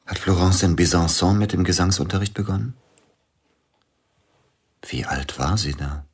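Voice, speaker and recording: A reserved, probing man with a deep, husky voice. He speaks in short, measured phrases, quietly questioning in the manner of radio drama. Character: reserved, probing male visitor questioning a woman. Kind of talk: German radio drama dialogue.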